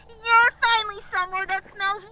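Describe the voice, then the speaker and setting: A woman's voice: very high voice, steady tone, measured pace, sharp tone, a little hiss or hum, heavily acted. A woman playing a bratty, sarcastic, complaining traveler in an audio drama. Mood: mocking. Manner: whiny, sarcastic, exaggerated. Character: bratty, foul-mouthed complaining female wasteland traveler. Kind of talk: post-apocalyptic audio drama acting.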